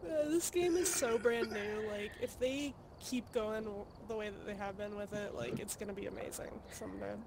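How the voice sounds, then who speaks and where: A man chatting excitedly with friends while playing a co-op game over voice chat. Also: high voice, normal speed, vocal fry, few pauses, crowd noise. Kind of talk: casual gaming chat.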